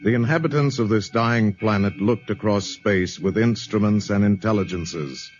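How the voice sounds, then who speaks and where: A man narrating a 1950s radio drama in a grave, measured, ominous tone. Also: fairly low pitch. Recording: muffled 1950s radio broadcast with music.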